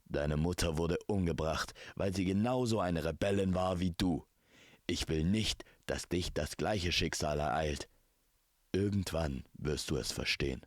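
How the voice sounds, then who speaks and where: Man as a stern father, very deep voice. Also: unhurried, dry recording, studio quality. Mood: grave. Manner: grave, admonishing. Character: stern royal father warning his rebellious child.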